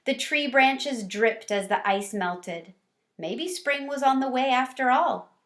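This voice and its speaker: A woman's voice: sits high, lively intonation, slow, fairly bright tone, pitched above the performer's own voice, exaggerated voice. A woman voicing a small, cheerful, sentimental pet hamster, narrating a storybook with earnest wonder and expression. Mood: hopeful.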